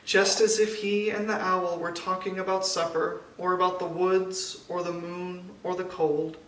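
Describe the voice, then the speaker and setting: A man reading a children's picture book aloud in a measured storytelling way, with deliberate pauses. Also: high-pitched, little pitch movement, a bit bright. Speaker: storybook reader. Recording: echoey room, distant mic, faint noise.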